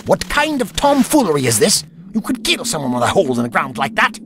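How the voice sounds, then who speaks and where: An actor playing a fussy, indignant elderly time traveller with a prim, pompous manner in an audio drama. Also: male voice, fairly high pitch, huge pitch swings, a little fast, fairly bright tone, a little hiss or hum, exaggerated voice. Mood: irritated. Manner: pompous, prim, old-fashioned wording. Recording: music under the voice.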